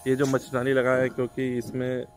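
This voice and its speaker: Young male vlogger, chatty tour-guide manner, phone-mic sound, loud music bed. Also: mid-range voice, monotone, brisk. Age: young adult. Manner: chatty, showing viewers around home. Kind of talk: casual vlog narration. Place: rooftop and rooms of a house. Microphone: handheld phone camera. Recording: vlog with loud music underneath. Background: loud background music.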